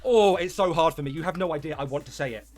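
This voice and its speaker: A man's voice: fairly high pitch, quick pace, a little mellow, faint music behind. A man hosting a livestream with mocking reaction commentary, foul-mouthed, exasperated and sarcastic. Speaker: livestream host.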